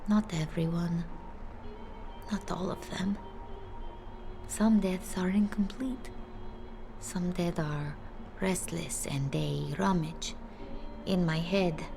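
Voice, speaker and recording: A haunted village woman speaks very slowly with a gravelly fry, often sinking toward a breathy whisper. She is hesitant and somber, a fragile game character who senses the dead, with faint music behind her. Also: lowish voice, steady tone. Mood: haunted grief. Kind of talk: video game character dialogue.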